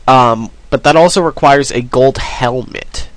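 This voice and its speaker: A man's voice: mid-range voice, measured pace, dry recording. A man with a cold, sniffling as he rambles through casual let's play commentary. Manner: rambling, self-deprecating, many ums.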